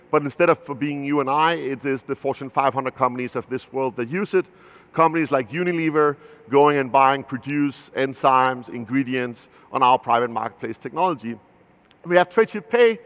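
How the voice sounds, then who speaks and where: A man's voice: mid-range voice, fairly bright tone. Danish man giving a loose keynote talk. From Denmark. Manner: loose and conversational, many filler "uh"s and restarts. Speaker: company founder giving a keynote. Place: large conference stage. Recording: long hall reverb from a big venue.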